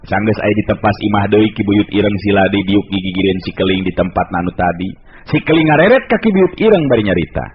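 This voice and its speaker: A Sundanese-accented man tells a folk tale with dramatic radio delivery. His voice sits fairly low. It comes through a narrow old recording with faint hiss. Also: animated, fairly quick. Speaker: radio storyteller.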